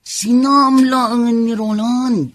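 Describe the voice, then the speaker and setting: A woman playing a disapproving, compassionate confidante in a radio drama, husky and earnestly chiding. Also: a little high, steady tone, extremely slow, dry recording. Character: disapproving confidante pitying her lover's children.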